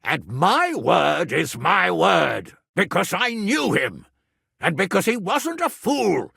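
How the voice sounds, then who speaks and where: A gruff middle-aged nobleman and guardian in a medieval video game. His voice is forceful and gravelly, and he takes his time over his words. He is blunt, earthy and used to giving orders. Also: high voice, big pitch swings, slow pace, no echo, studio quality, full character voice. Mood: blunt, exasperated. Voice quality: gravelly, raspy. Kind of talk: dramatic video game dialogue.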